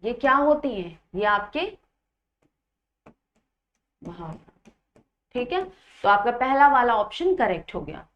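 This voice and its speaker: A woman with a fairly high-pitched voice teaches an exam-preparation class. She explains in an instructional manner, putting questions to her students and repeating the key points. The audio is somewhat dull and lo-fi. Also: big pitch swings, unhurried, pauses often. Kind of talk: Hindi grammar lesson, explaining quiz questions.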